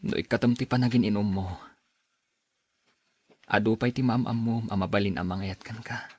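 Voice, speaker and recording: Low, husky, patient suitor. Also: male voice, moderate pace. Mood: pleading. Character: kind, patient young man advising the woman he loves. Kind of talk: radio drama acting in dialogue.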